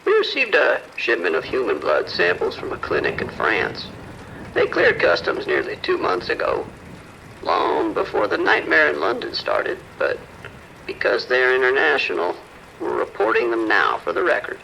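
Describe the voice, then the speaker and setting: A man playing a polished research scientist in an audio drama, formal and precise, offering measured reassurance. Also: mid-range voice, huge pitch swings, gravelly, pushed and bright, slight background noise, heavily acted, low-quality recording. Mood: formal, reassuring.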